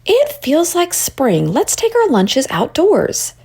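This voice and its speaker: A woman voicing a kind, reassuring prairie schoolteacher in a storybook, gentle and encouraging. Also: high-pitched, huge pitch swings, slow pace, voice pushed up, heavily acted.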